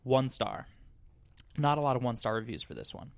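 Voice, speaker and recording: A man's voice: mid-range voice, animated, brisk, creaky, no echo. Teasing male podcast host, dry close sound. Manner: playful, teasing. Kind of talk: casual chat, reading reviews aloud.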